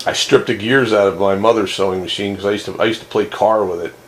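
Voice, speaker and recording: A man's voice: on the low side, steady tone, quick pace. A man chatting casually as a radio hobbyist. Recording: clearly noisy radio-chat recording.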